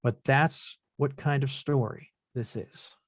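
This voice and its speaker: A man's voice: medium pitch, fairly even intonation, slow, dry recording, studio quality. A man playing a reflective son in his late twenties in a film script table read.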